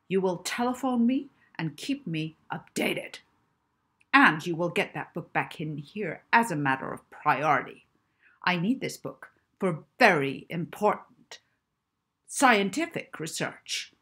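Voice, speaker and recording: A woman reader plays a furious, bullying professor in a children's storybook. The voice booms and rasps, forceful and sharp, barking aggressive demands like orders. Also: lively intonation, measured pace, heavily acted. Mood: bossy, menacing. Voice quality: booming. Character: belligerent, bullying, ugly middle-aged male professor, booming.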